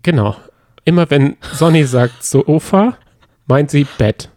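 A man's voice: fairly high pitch, expressive, slow pace, darkish tone, dry recording, studio quality. A man co-hosting a casual podcast with playful, teasing banter. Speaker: podcast co-host. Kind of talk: casual banter recapping reality TV.

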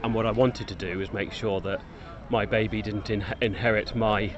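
Halting man in his fifties. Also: on the low side, steady tone, muffled recording. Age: 50s. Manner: reflective, hesitant, halting. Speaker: parkrun runner interviewee. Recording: outdoors with crowd noise.

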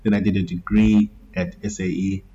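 A man, a guest musician telling his story in a relaxed way and laughing easily. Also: deep voice, moderate pace, highs cut off. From Zimbabwe. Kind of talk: casual interview talk.

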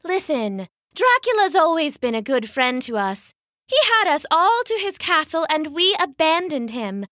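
A woman's voice: high-pitched, big pitch swings, measured pace, a bit bright, no echo, clean studio sound, pitched above the performer's own voice, heavily acted. A woman voicing a caring female mummy in a storybook reading, speaking earnestly.

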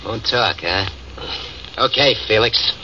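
A man's voice: lowish voice, slow pace, sharp tone, exaggerated voice. An actor playing a ruthless, greedy male gang boss in an old radio drama, speaking in a menacing, threatening way. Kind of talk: 1940s radio acting. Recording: distant, lo-fi, noisy old radio recording.

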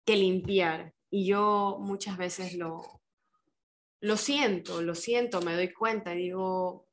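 A woman's voice: on the low side, steady tone, takes it slowly, slight background noise. A woman co-hosting a podcast, agreeing often and talking chattily with fillers. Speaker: podcast co-host. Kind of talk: reflective podcast conversation.

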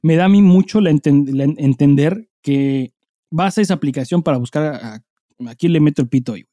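A man's voice: on the high side, brisk, warm tone, no room sound, clean studio sound. A Mexican man co-hosting a comedy podcast, chatting casually with vulgar slang and crude storytelling. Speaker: podcast co-host.

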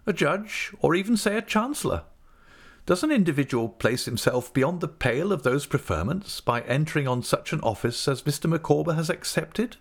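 A man's voice: a little high, huge pitch swings, moderate pace, gravelly, pitched above the performer's own voice, heavily acted. A man voicing a self-important, doting middle-aged wife who makes earnest, businesslike pronouncements in an audiobook. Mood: profound, earnest. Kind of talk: audiobook character acting.

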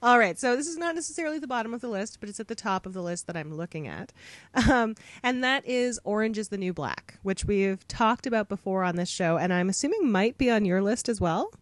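A woman's voice: medium pitch, lively intonation, a little fast, no echo. A young woman co-hosting a casual podcast, playful and laughing often, with frequent fillers. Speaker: podcast co-host.

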